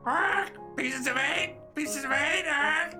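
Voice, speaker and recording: A pirate's pet parrot. It screeches very high and slowly, stretching out repeated catchphrases in comic radio play style. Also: female voice, music in the background. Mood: squawking.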